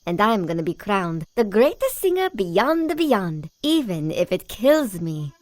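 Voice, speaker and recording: A woman's voice: medium pitch, very animated, measured pace, darkish tone, no echo, studio quality. A woman playing a proud, dramatic cartoon singer heroine who sprinkles her speech with Spanish. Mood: determined. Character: feisty, proud, self-doubting woman singer, defiant underdog. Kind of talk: animated cartoon voice acting.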